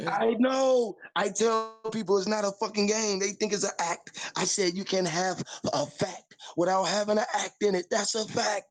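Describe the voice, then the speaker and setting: A man's voice: sits high, steady tone, normal speed, few pauses. A man in a put-on Caribbean island accent, rambling and boasting in profane casual chat on a call-in show.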